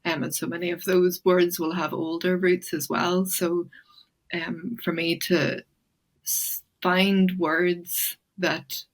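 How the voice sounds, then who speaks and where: A woman speaking as an interview guest, reflective and thoughtful, pausing often with fillers and restarts. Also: fairly low pitch, little pitch movement.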